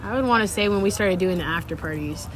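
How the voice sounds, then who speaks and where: Young woman from sacramento, chill slangy talk, lower voice. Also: quick pace, faint music behind. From Sacramento, California. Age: young adult. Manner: laid-back slangy talk, frequent laughs and fillers. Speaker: DJ guest. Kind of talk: casual interview chat.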